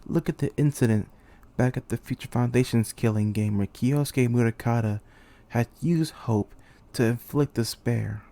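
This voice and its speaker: Brooding young commander, dark gravelly male voice. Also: medium pitch, moderate pace. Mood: despairing, questioning. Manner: brooding, intense, swearing. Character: weary, bitter young male student commander trapped in a killing game. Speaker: aspiring voice actor. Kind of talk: amateur dramatic monologue, character acting.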